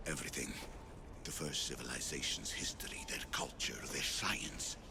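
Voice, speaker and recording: Casting: ancient cryptic sage father, game cutscene; bottomless pitch, heavy fry, grave delivery. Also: normal speed, noisy background. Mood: solemn. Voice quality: very deep, gravelly, breathy at phrase ends. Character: ancient solemn father, keeper of Atlantis's secrets. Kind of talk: video game cutscene acting. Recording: music behind the voice.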